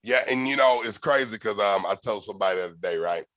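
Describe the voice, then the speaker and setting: A man hosting a podcast, telling stories in a loose, animated way, with slang and swearing. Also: fairly low pitch, fast, no echo. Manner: animated, profane storytelling with slang. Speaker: podcast host. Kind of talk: casual podcast conversation.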